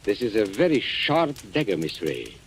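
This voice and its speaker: Suave, low-voiced blackmailer with animated delivery. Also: male voice, normal speed. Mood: menacing, taunting. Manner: polite, smug, theatrical, taunting. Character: suave, menacing male antique-shop owner and blackmailer. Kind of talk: old-time radio mystery acting. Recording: old radio recording with background hiss.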